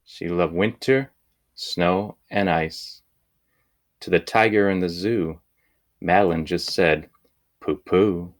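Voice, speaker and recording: A man reading a children's picture book aloud in measured, deliberate phrases with pauses. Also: sits low. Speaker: storybook reader.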